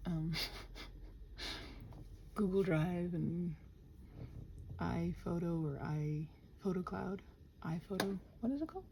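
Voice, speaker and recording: A woman talks in a soft, creaky voice with a mellow tone, over faint but noticeable background noise. She rambles casually to her listeners while she works, muttering, trailing off and poking fun at herself. Her words often sink to a near-whisper. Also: fairly low pitch, takes it slowly, pauses often, rough recording. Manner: muttering, self-deprecating, trailing off and thinking aloud. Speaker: audio roleplay and ASMR creator.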